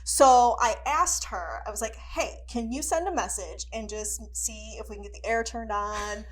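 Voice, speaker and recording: A woman's voice: a little high, moderate pace, rough recording. An American woman co-hosting a podcast, chatting casually in a playful, giggly way. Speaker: podcast co-host. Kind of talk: casual chat.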